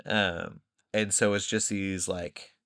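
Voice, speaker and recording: A man hosting a podcast, telling rambling, animated stories in casual conversation. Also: low-pitched, slow, smooth. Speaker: podcast host.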